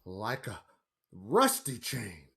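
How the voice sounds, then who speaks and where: A woman's voice: a bass voice, sing-song, slow pace, creaky, fairly bright tone, a little hiss or hum, heavily acted. A woman playing a confident, skeptical family father in a radio play, brash and hearty in manner. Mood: alarmed.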